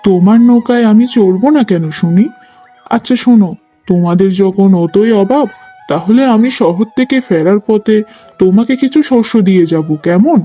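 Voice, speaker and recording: A man's voice: sits high, moderate pace, mellow, faint music behind. A man voicing an earnest, resolute young man in love in a cartoon story. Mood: kindly, reassuring. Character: resolute, kindly lovestruck young man of good family.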